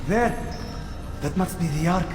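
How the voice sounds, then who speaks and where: A man's voice: high-pitched, lively intonation, a little slow. An actor playing an earnest, reproachful male assassin in a video game, scolding with urgency. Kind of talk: video game cutscene acting. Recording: echoey hall, music behind.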